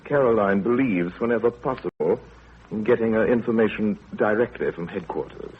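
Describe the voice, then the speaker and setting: An actor playing a dry, pompous, irritable middle-aged village doctor, sardonic and clipped, in 1930s radio drama style. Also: male voice, lowish voice, moderate pace, husky. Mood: dry, wry. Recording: tinny, narrow 1930s radio broadcast.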